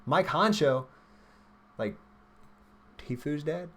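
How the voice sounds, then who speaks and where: A man's voice: fairly high pitch, takes it slowly, a bit bright. Casual rambling young man answering stream questions. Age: 20s. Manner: informal, rambling, thinks aloud. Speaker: livestream host, software developer. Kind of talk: casual livestream Q&A chat, reading and answering viewer questions.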